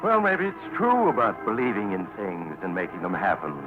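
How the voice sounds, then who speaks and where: An actor playing a wry, mysterious storyteller who hosts a 1940s radio anthology in a sly conversational manner. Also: male voice, on the low side, wildly swooping pitch, moderate pace. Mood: wry, genial. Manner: conversational, sly, tongue-in-cheek. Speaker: host narrator. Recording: dull vintage radio with music behind.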